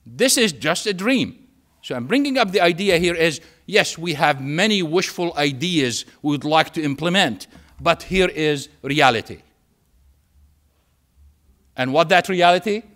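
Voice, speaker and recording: Male lecturer, Syrian Arabic accent, native Arabic speaker, slightly high pitch, emphatic storytelling delivery with deliberate pauses. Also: fairly bright tone. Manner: emphatic, repeats phrases, pauses for effect. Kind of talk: public lecture with anecdotes and humour.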